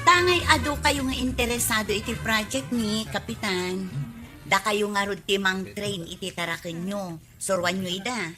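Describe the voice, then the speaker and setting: An actress with an Ilocano accent playing a lively, talkative village woman in a comedy drama. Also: medium pitch, normal speed, faint music behind, natural voice. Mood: chatty, enthusiastic.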